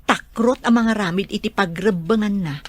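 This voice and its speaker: A woman's voice: medium pitch, normal speed, dry recording, natural voice. A woman with an Ilocano Filipino accent playing a concerned adult mother in an audio drama. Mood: doubtful. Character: concerned adult mother, Mara, worried about daughter.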